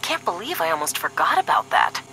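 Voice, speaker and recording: A woman's voice: fairly high pitch, big pitch swings, fairly quick, vocal fry, pushed and bright, slight background noise, heavily acted. A woman acting as a sardonic, duty-minded young paramilitary agent in a scripted audio drama, dry and serious.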